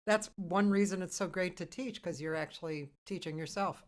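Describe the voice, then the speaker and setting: A woman's voice: on the low side, moderate pace. Informal woman hosting a casual chat. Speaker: host, interviewer. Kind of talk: casual live chat interview.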